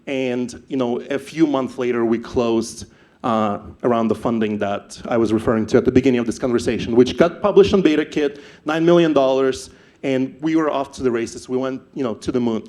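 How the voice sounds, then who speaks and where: A young man from Ukraine with a Ukrainian accent, telling his startup story on stage, animated and often repeating words as he restarts. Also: mid-range voice, fairly quick. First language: not English. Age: young adult. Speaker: startup founder speaker.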